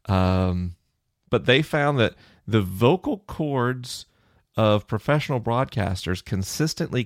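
A middle-aged man with a low, chesty voice, hosting a podcast and casually explaining research in answer to a listener question. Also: creaky, dry recording, studio quality. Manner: conversational, thinking aloud with fillers.

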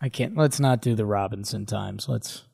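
A man's voice: fairly low pitch, moderate pace, creaky, darkish tone, husky, dry recording, clean studio sound. A man co-hosting a sports podcast with casual, joking, slangy banter.

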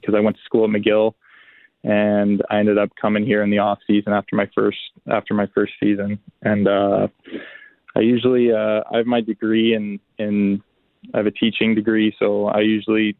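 Gravelly man on a tinny phone line. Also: on the low side, dry recording. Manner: relaxed, halting with restarts and fillers. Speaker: pro football player, guest. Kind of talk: phone interview.

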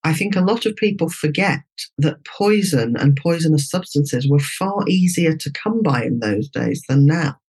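Husky woman giving informal commentary. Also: sits low, expressive, normal speed, few pauses, darkish tone. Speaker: interviewed commentator. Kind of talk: conversational expert commentary.

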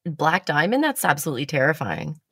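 A woman's voice: sits low, big pitch swings, brisk, fairly bright tone, breathy edge, no room sound, studio quality. A woman hosting a casual chat, telling stories about herself with blunt, self-mocking humor and the odd swear word.

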